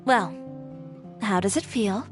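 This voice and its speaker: A woman's voice: mid-range voice, slow, natural voice. A woman playing an assertive young fashion designer in a video game, speaking in a confident, frank, matter-of-fact way. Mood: relaxed. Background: background music.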